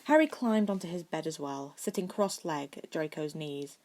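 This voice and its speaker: Woman, lower-pitched voice, amateur audiobook narration, expressive, occasional stumbles. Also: normal speed, a little hiss or hum. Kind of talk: scripted fan fiction narration.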